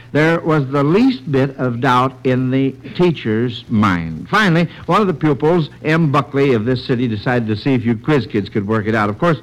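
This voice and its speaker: A man's voice: medium pitch, rough recording. An American man hosting a quiz show in the scripted style of old radio, reading out questions on a vintage 1940s broadcast.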